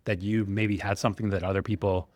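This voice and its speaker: Enthusiastic low-voiced male podcast host. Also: flat delivery, quick pace, darkish tone, no room sound, clean studio sound. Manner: enthusiastic, rambling with many likes. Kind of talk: casual podcast chat.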